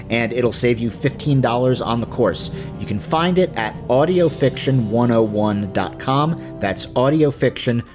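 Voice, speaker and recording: A man hosting a podcast, reading sponsor messages in a conversational way with informal asides. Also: fairly low pitch. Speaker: podcast host. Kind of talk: casual sponsor read. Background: faint music.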